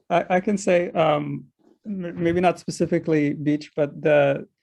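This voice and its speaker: A man's voice: on the high side, darkish tone. An easygoing man from Algeria answering questions as a panelist. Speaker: startup visa panelist.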